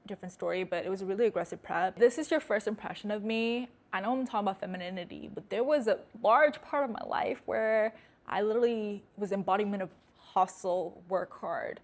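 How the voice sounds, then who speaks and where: A young woman with an American accent talks straight to camera in a casual vlog. She is direct and emphatic, candid without softening. Her voice sits at an ordinary middle pitch. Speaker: host. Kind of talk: casual talking-head vlog.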